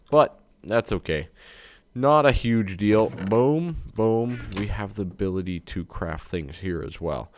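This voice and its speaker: Stuffed-up man, low voice, dry mic. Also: dry recording. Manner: relaxed, chatty, thinks aloud with ums. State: stuffed up, congested. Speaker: let's-play host. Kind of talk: casual gameplay commentary.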